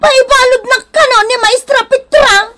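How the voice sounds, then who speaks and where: Shrill, wailing village gossip mother. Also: moderate pace, sharp tone. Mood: shocked, wailing. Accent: Ilocano. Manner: wailing, pleading, melodramatic. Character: distraught, sharp-tongued gossiping village mother in debt. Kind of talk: Ilocano radio comedy drama acting.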